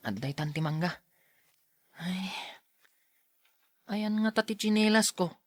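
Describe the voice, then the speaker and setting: A woman's voice: medium pitch, takes it slowly, dry recording, clean studio sound. A woman playing a timid, polite schoolboy in an audio drama, speaking hesitantly and bashfully. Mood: wary, musing.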